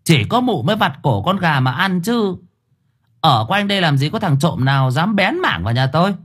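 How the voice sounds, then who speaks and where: A man playing a shrill scolding village shamaness. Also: sing-song, normal speed, a bit bright, slightly rough, heavily acted. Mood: suspicious. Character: fierce scolding village shamaness, middle-aged woman.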